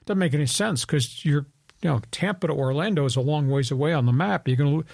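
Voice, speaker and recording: A man's voice: fairly high pitch, fast, creaky, darkish tone, no room sound, studio quality. A man in his seventies from New York, hosting a sports talk show and telling rambling, opinionated stories. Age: 70s. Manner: storytelling, opinionated, rambling with restarts. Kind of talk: sports talk radio chat.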